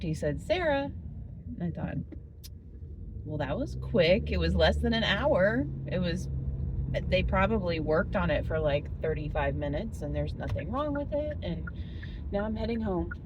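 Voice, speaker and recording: A middle-aged woman chatting informally to camera as a family vlogger, in a casual, talkative way. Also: deep voice. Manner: informal, chatty, laughs often. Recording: steady car and road noise.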